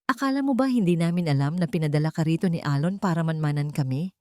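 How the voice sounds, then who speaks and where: A woman's voice: sits low, expressive, fast, warm tone, no room sound, clean studio sound. A woman voicing a violent, scheming male lawyer, a threatening villain in an audio drama. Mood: menacing. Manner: threatening, conspiratorial. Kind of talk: Filipino audio drama acting.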